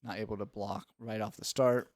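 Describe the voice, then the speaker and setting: A man's voice: on the low side, level tone, normal speed, darkish tone, no room sound, clean studio sound. A man giving analytical play-by-play sports commentary in an instructive, explanatory way.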